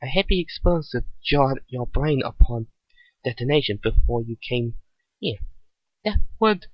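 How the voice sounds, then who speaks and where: A man voicing a curious, analytical female mechanic in a story reading, probing and deliberate. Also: mid-range voice, lively intonation, no room sound, highs cut off. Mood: reasoning.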